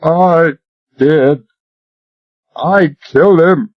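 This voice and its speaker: A man's voice: high-pitched, very animated, takes it slowly, breathy edge, pitched above the performer's own voice, full character voice. A man voicing a terrified, choking captive who screams and stammers as he begs for his life, on a dull lo-fi recording. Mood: desperate. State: choking, out of breath.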